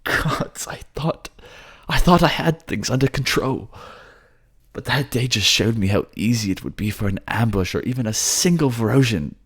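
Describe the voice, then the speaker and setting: A man's voice: mid-range voice, animated, normal speed, slightly rough, voice pushed up. A man playing a kind, remorseful young prince in a fantasy audio drama, speaking hesitantly. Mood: anguished, tender. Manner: hesitant, stammering.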